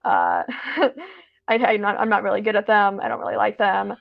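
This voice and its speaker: A woman's voice: medium pitch, huge pitch swings, moderate pace, gravelly, lo-fi sound. A teenage American girl chatting over a call, rambling with lots of fillers and self-corrections. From United States. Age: teens. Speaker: student guest. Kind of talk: casual conversation.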